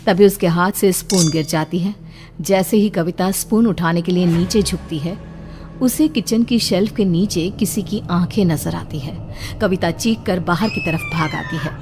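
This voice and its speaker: A woman's voice: fairly low pitch, moderate pace, a little mellow, slight background noise. A woman reading scripted narration for a horror cartoon story.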